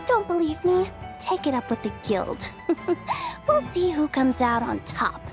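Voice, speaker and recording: A cocky young heroine in a video game, brash and full of slangy banter. The voice is very high and swoops around, taking its time over the lines, with light music underneath. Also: female voice, very animated, unhurried, heavily acted. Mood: stern, confident. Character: brash, spirited young woman playing tough. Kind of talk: scripted game voice acting.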